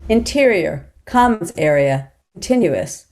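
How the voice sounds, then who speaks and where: A woman reading scene headings and stage directions at a table read in an even, plain delivery. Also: lowish voice, measured pace. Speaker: narrator.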